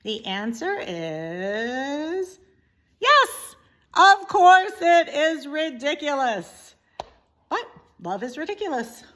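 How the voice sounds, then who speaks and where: A woman narrating a children's story expressively, with deliberate, dramatic emphasis. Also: sits high, big pitch swings, a bit bright. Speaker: story reader, teacher.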